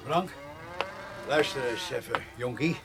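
A folksy, nosy old countryman from a radio drama, played by a man, with a very gravelly, creaky voice of middling pitch. He mutters hesitantly and repeats his words. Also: unhurried, over music, lightly acted. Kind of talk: Dutch radio play acting.

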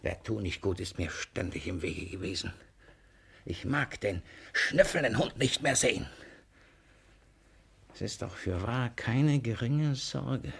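An irascible old miser in a classic radio comedy. His voice is rough and gravelly, leaping from suspicious muttering to blustering outbursts. He sounds paranoid and bossy throughout. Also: lowish voice, lively intonation, slow pace, long pauses, full character voice. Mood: anxious soliloquy. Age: older. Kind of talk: German stage-comedy radio play acting.

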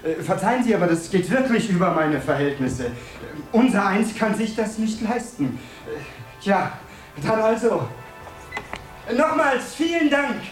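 An actor in a 1970s radio drama playing a timid, anxious office clerk, hesitant and apologetic. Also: male voice, high-pitched, sing-song, unhurried, soft background music. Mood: flustered haste. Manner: hesitant, polite, stammering apologies. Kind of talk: 1970s German-language radio drama acting.

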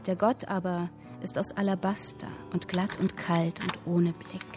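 An actress playing a captive young woman who recites a dark literary tale in a solemn, measured manner for a radio play. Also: on the low side, flat delivery, close to an everyday voice. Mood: brooding, solemn. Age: young adult. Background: music and typewriter.

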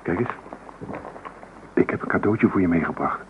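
An actor playing an affectionate, caring young student boyfriend in a Dutch radio play. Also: male voice, low-pitched, moderate pace, close to an everyday voice. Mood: concerned, affectionate. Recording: tinny, noisy old radio recording.

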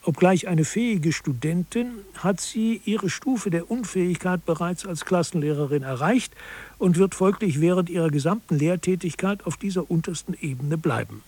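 A man reading scripted narration for a satirical radio feature in a measured, matter-of-fact manner. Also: sits high, a little mellow, breathy edge.

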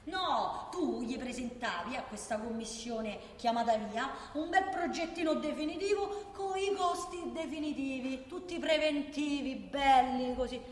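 A woman's voice: high-pitched, measured pace, hardly any pauses. An actress playing a feisty working-class woman with a Roman accent, arguing colloquially and emphatically in a theatrical radio monologue. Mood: explaining, sarcastic. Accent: Roman Italian. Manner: colloquial, argumentative, emphatic, with rhetorical questions. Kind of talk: theatrical radio monologue, one side of a conversation. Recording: echoey reverberant hall, faint background noise.

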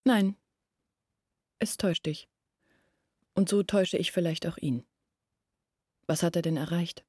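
An anxious village woman in a literary radio drama, thinking aloud earnestly and deliberately. Her voice sits a little low, with a breathy, husky grain. The sound is very dry and intimate. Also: mellow, dry recording. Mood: troubled, doubting. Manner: earnest, deliberate, searching, questioning herself. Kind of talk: literary radio play acting, long monologues. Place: dry studio.

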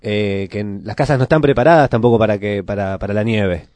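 A man's voice: fairly low pitch, brisk, darkish tone, no echo. A man with a Buenos Aires accent talking casually as a radio co-host, circling back and repeating his phrases. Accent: Buenos Aires Argentine Spanish.

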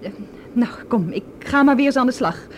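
An actress playing a guarded, slightly flirtatious working stewardess in a radio play, with a questioning manner. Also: female voice, on the high side, animated, normal speed, a little hiss or hum, natural voice. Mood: amused, guarded. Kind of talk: Dutch radio drama acting.

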